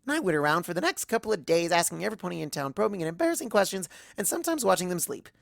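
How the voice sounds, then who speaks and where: A woman's voice: lowish voice, very animated, very fast, creaky, dry recording, studio quality. A woman voicing a bubbly, scatterbrained young cartoon pony who rambles earnestly like a child. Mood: chirpy, rambling. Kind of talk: first-person fan fiction narration in character.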